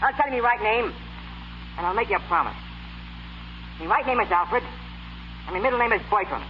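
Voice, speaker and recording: An actor playing a pushy, slangy Brooklyn fight manager posing as a butler, in 1930s radio comedy style. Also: male voice, sits high, expressive, fairly quick. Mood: earnest, sweet-talking. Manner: pushy, slangy, ungrammatical tough talk. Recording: scratchy, muffled 1930s radio recording.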